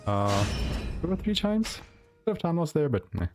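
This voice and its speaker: A man's voice: fairly high pitch, very animated, normal speed, a little mellow. A man giving casual, explanatory commentary as he plays a video game live. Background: video game music and sounds.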